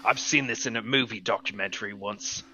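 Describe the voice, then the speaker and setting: A man voicing a burly, rugged dinosaur hunter in a tabletop game, raspy and vaguely British, with a deadpan boastful manner. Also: lowish voice, brisk, a little hiss or hum. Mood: gruff, dry.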